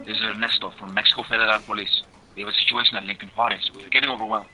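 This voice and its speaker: A man's voice: fairly low pitch, level tone, very fast, sharp tone, low-quality recording. A man playing a harried Mexican federal police officer in an amateur audio drama. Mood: urgent.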